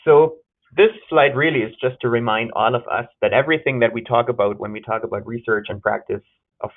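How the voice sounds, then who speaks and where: A man with a German accent talks through a research webinar in an earnest, careful way, his mid-range voice sitting at an ordinary pitch. He stops on small fillers and fixes his own phrasing as he goes. The sound comes through a narrow, tinny, phone-like line. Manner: earnest, with frequent uh fillers and self-corrections. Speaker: presenter. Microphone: video call.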